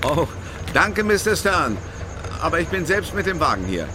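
High-pitched, nervous, flustered man. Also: very animated, normal speed, background noise. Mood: flustered, polite. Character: flustered, nervous man inside a remembered scene. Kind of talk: German radio drama acting.

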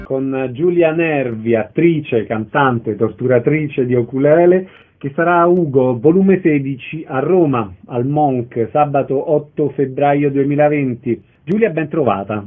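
A man introducing a guest on radio in a loud, emphatic announcing manner. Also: medium pitch, measured pace, few pauses, soft background music. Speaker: radio host. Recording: phone-like narrow sound.

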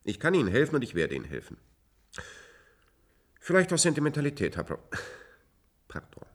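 An actor playing a smug, sardonic clinic chief in a radio play, pompous, mocking and condescending. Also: male voice, on the high side, wildly swooping pitch, fairly quick. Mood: patronizing. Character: smug, worldly, sardonic male private-clinic chief doctor. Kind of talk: German science-fiction radio play acting.

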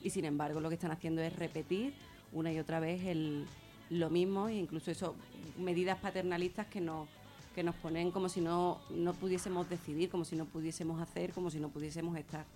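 Low-pitched woman from a Spanish radio discussion. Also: steady tone, a little fast, mellow, soft background music. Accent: Spain. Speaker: guest speaker on gender violence.

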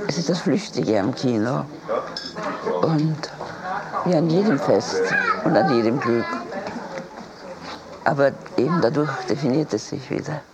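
A woman talking reflectively and spontaneously in a halting, thoughtful way. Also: a bass voice, expressive, heavy background noise.

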